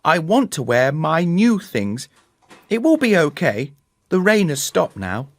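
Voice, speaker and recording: A man voicing an excitable, stubborn twelve-year-old storybook boy with emphatic, insistent delivery. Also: a little high, a little slow, dry recording, pitched above the performer's own voice, heavily acted.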